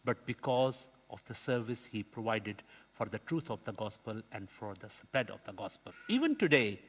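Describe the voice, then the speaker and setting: A man preaching an earnest sermon, telling a story with halting restarts and pauses. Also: fairly low pitch, sing-song. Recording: long church reverb.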